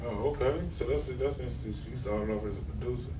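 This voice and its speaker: A man's voice: mid-range voice, fast. A man hosting a podcast, asking questions in a rambling, filler-heavy casual way. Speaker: podcast host. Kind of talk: casual interview chat. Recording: roomy, distant mic, faint noise.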